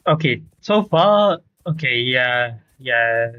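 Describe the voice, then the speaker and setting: A man doing casual live game commentary, halting and repeating himself for emphasis. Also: medium pitch, lively intonation, sharp tone. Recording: dull, lo-fi stream audio.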